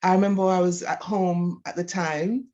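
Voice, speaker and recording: A woman with a Jamaican accent speaks in a fairly low register, as an interview guest giving personal, explanatory answers. She talks as a health professional would about their work. The recording is a little muffled and lo-fi. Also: fairly even intonation, normal speed, dark tone. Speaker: physiotherapist guest.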